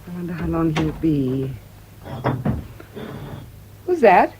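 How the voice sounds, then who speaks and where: A woman's voice: low-pitched, sing-song, slow, noisy background, full character voice. An actress playing a genteel English society woman in her thirties in a radio drama, polite and proper. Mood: inquiring, cool. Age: 30s.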